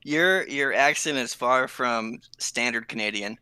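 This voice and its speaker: A man in his thirties from California, talking as a guest in a relaxed, rambling way. His voice is bright and crisp, and the sound is dry and close. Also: mid-range voice, measured pace, no room sound. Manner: easygoing, rambling, with fillers. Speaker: guest developer. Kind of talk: casual interview chat.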